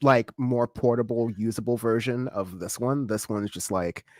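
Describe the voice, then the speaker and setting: A man's voice: mid-range voice, fairly even intonation, normal speed, dry recording. American man with faint British traces, dry sound. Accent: American with slight British traces. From born in California, spent much time in northern England. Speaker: guest on a talk show. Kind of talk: casual chat, explaining.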